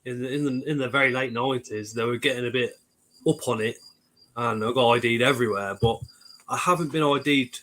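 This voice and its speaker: A man's voice: medium pitch, fairly quick, a bit bright, rough recording. A man, hosting a live stream in casual chat, joking and swearing lightly as he reads out viewer comments. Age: 40s.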